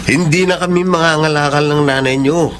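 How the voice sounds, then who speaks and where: A man's voice: on the high side, steady tone, a little fast, fairly bright tone, close to an everyday voice. An actor playing a humble, grateful poor father and husband in a Filipino radio drama. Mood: proud, happy.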